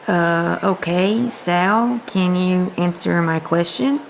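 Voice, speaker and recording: A woman's voice: lowish voice, slow, vocal fry, a little hiss or hum, rough recording. A woman playing a short-tempered, sardonic agent in a comedic audio drama, with a dry, scolding manner. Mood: puzzled. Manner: dry, sarcastic, folksy scolding.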